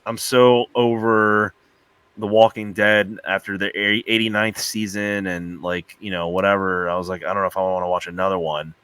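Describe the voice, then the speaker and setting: A man's voice: low voice, little pitch movement, normal speed, a bit bright, clean tone, no room sound, poor audio. A middle-aged man chatting casually as a podcast panelist. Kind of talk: casual chat.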